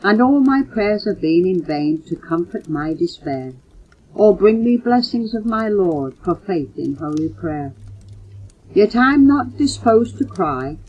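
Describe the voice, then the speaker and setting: An older woman reading poems aloud in a measured, earnest way, recorded on a muffled old home cassette. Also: medium pitch, sing-song, darkish tone, husky. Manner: measured, earnest recitation, announcing titles and authors. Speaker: mother reading poems for her children. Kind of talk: recited poetry reading.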